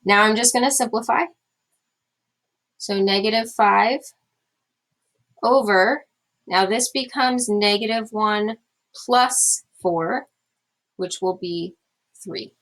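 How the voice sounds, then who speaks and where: Young female teacher, slightly scratchy voice, calm explanatory lecture delivery. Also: mid-range voice, slow, long pauses. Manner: steady explanatory pace with fillers. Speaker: math teacher. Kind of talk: recorded classroom lecture.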